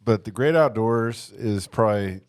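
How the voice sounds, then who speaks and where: A man's voice: fairly low pitch, normal speed, clear, resonant tone. A man chatting casually about things he likes as a podcast panelist. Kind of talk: casual chat about movies.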